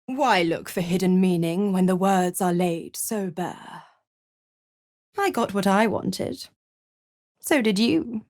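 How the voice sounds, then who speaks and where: A woman's voice: fairly low pitch, darkish tone, husky, dry recording, clean studio sound, exaggerated voice. An actress playing a seductive, gloating female devil in a video game, purring with theatrical, mocking condescension and savoring her words. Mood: seductive, luring. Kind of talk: scripted video game character acting.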